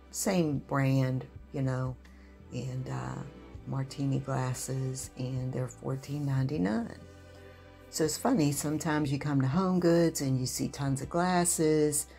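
A woman narrating a shop-with-me home decor video in a relaxed, chatty voice-over, with many ums and uhs. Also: very deep voice.

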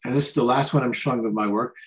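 An older man, an artist, talking informally and haltingly through slides of paintings on a video call. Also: medium pitch, fairly even intonation. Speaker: artist presenting his paintings. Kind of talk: informal slide commentary on art.

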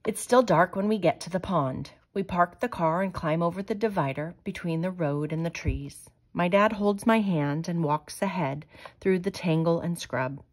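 A woman reading a children's picture book aloud in a measured, expressive way. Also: on the low side. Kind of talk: storybook read-aloud.

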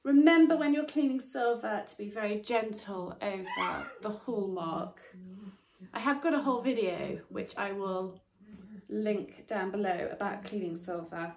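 A woman's voice: mid-range voice, a little slow, vocal fry, darkish tone. Chatty woman in an echoey room. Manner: friendly how-to chat with filler words, working as she talks. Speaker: home and cleaning vlogger. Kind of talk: chatty cleaning demonstration. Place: sunlit garden room in a home. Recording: echoey room with faint noise.

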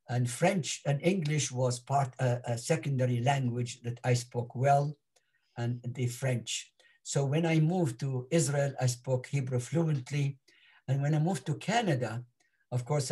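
A man in his eighties with an Iraqi Arabic accent, whose first language is Arabic, earnestly telling his life story on a video call with halting restarts. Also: mid-range voice, warm tone, breathy edge. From Iraq. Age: 80s. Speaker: interview guest. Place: home, in front of a virtual backyard background.

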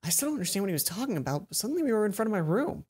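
A young adult man reading a visual novel aloud as a let's player, breaking into dramatic, expressive commentary on a headset mic. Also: sits high, racing, breathy edge. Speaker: let's play host. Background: game music.

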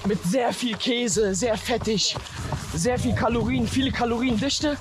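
A man with a very high-pitched voice talks into a camera he carries along an outdoor trail. He stays upbeat while on the move. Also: measured pace, over music. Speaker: long-distance runner vlogging his trek. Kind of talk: vlog narration while walking.

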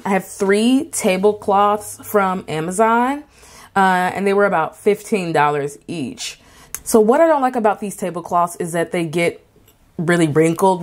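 A woman who is an artist, talking casually in a vlog with many fillers and restarts, giving emphatic advice. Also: lowish voice. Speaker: artist and craft vendor.

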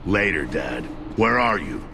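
Gravelly, blunt soldier. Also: male voice, rumbling low, big pitch swings, a little slow, background noise, exaggerated voice. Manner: blunt, terse. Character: gruff, battle-weary, burly male soldier. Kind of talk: video game cutscene acting.

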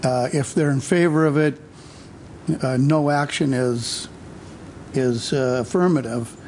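A male city councilmember weighs in during a council meeting, his voice in a middling register with a faint hiss behind it. He talks in a measured, hesitant way, pausing on fillers as he works through his point. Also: mid-range voice, a little mellow.

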